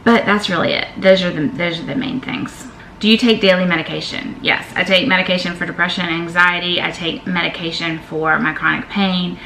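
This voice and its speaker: A woman, talking openly as she reads out questions and answers them for her vlog. Her voice sits a little low and has a gravelly edge. She records in an echoey room with a faint hiss behind her. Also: fairly even intonation, fairly quick, bright, forceful tone. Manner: chatty, candid, reads each question aloud then answers. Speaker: vlogger. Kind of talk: casual Q&A vlog.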